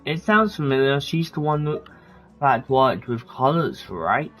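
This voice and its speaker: A man chatting offhandedly while he plays a video game. He rambles and trails off at a middling pitch. Game music plays faintly in the background. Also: lively intonation, unhurried, fairly bright tone. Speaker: gameplay streamer. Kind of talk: casual commentary over gameplay.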